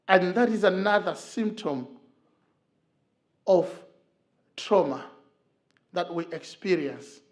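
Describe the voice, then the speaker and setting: Man from Zimbabwe, middle-aged, higher pitch, earnest church talk with halting pauses. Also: lively intonation. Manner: earnest, storytelling, frequent filler pauses. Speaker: church speaker. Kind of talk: talk on men's mental health. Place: church hall.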